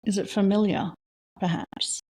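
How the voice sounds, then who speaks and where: A woman leading a guided reflection exercise, asking slow, soft questions with long pauses between them. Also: mid-range voice, steady tone, breathy edge. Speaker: meditation guide.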